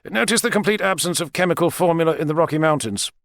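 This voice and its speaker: A man's voice: fairly high pitch, fast talker, fairly bright tone, dry recording, clean studio sound, pitched above the performer's own voice. A man voicing an assured male doctor who explains things confidently in an audiobook. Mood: assured, explaining.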